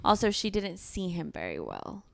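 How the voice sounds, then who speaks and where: A woman's voice: fairly low pitch, huge pitch swings, normal speed, gravelly, no echo. A woman co-hosting a podcast in casual book chat, adding questioning, matter-of-fact comments. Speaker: podcast co-host. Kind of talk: casual chatty book discussion.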